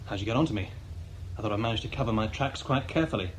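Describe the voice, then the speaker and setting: An actor playing an irritable, suspicious armed thief in a radio drama, brusque and sceptical. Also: male voice, lowish voice, animated, very fast, close to an everyday voice. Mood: suspicious, snappish. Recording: faintly noisy 1980s radio drama.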